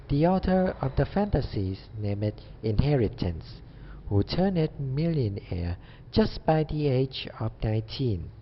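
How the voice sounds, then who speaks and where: A young man delivering a scripted student presentation. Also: mid-range voice. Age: young adult. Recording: dull audio with faint background noise.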